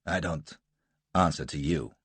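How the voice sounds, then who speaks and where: A man reading aloud and voicing a cool, defiant American rogue adventurer who speaks low and clipped. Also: unhurried, a bit bright, breathy edge, dry recording, heavily acted.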